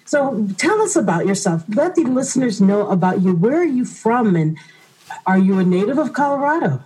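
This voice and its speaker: Husky-voiced woman radio host. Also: mid-range voice, sing-song, moderate pace, dark tone, poor audio. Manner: friendly, encouraging questioning. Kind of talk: radio interview.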